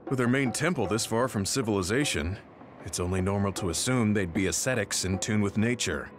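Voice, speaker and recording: Low-voiced stoic male fighter played by an actor. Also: brisk, noisy background, close to an everyday voice. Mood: skeptical. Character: stoic, protective, sturdy male squad fighter. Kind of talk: English video game dub acting. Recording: music under the voice.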